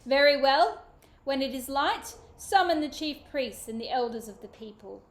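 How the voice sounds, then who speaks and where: A woman reading a children's book aloud, voicing an angry, menacing king with a booming, commanding delivery. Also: animated, moderate pace, reverberant.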